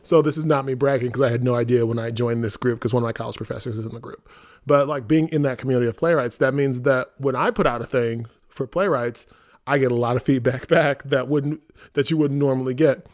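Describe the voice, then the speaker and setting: Male podcast co-host, American, medium-pitched, loose and conversational, self-deprecating theatre director type. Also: quick pace, a little mellow. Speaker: artistic director and playwright on a theatre company podcast. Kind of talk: casual conversational podcast talk.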